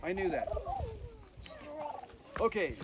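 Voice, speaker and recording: A man reading his storybook aloud to listeners outdoors, with expressive telling and chatty asides. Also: slow. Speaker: author reading from own book. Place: outdoors on a mountain. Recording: distant mic, noisy outdoor recording.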